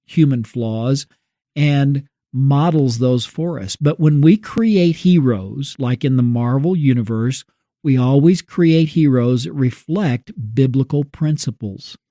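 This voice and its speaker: A man co-hosting a religious discussion, explaining his reflections in measured, short phrases. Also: medium pitch, expressive, dark tone, dry recording. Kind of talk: reflective religious commentary in conversation.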